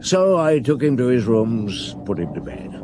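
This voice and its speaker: A calm, fatherly police chief inspector, played by a man in a classic radio drama. He speaks steadily and with quiet command, warming into dry humour, at a middle pitch. A faint hiss sits under the recording. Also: normal speed, close to an everyday voice. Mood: reminiscing, wry. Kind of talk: 1960s-70s radio drama acting.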